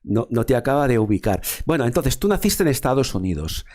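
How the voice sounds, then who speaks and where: A man's voice: mid-range voice, very animated, brisk, a little mellow. A man from hosting a formal interview, speaking in a wordy way with frequent fillers and repeated words. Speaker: interviewer, show host.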